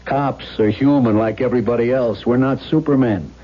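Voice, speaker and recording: An actor playing a skeptical, weary American police lieutenant in a 1970s radio drama, measured and patronizing. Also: male voice, medium pitch, natural voice. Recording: dull, noisy old radio broadcast.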